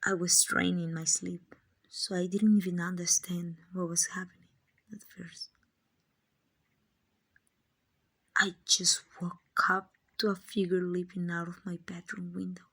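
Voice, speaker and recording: A woman acting as a kind, gentle female vampire who owns a mansion, in a hushed, breathy, reassuring ASMR roleplay. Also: on the low side, flat delivery, normal speed, heavily acted. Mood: soothing. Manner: hushed, breathy, tender and reassuring, often near whispering.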